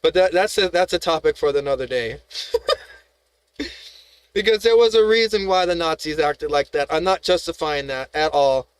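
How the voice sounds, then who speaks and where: A man's voice: a little high, normal speed, smooth, no echo. A man hosting a live stream, ranting in a heated, profane, rambling way, full of restarts and rhetorical questions.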